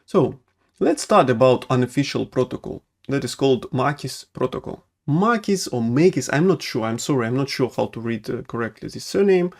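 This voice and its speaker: A man's voice: medium pitch, expressive, normal speed, creaky. A man who is a doctor, speaking English as a second language with a non-native accent, explaining medical treatments in a hesitant way with many fillers and restarts. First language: not English. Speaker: oncologist.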